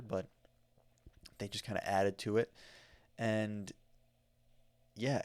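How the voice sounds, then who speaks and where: American guy podcasting solo, lowish voice, dry close mic, lots of ums and restarts, gets excited. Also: no echo. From United States. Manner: rambling, enthusiastic, with many fillers and restarts. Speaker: podcast host. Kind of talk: casual solo podcast storytelling. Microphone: studio microphone.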